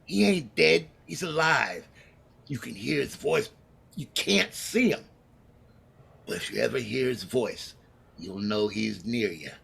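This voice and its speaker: A man's voice: mid-range voice, expressive, measured pace, creaky, pauses often, a bit bright, slightly rough, heavily acted. Man acting a gritty, vengeful condemned gangster. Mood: coaxing, menacing. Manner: tough, slangy, commanding, menacing talk. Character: scheming, vengeful condemned gangster in his jail cell. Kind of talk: 1930s radio crime drama acting.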